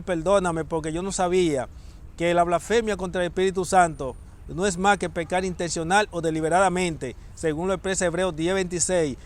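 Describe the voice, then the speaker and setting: A man preaching a sermon, emphatic and repeating key phrases for stress. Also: sits high.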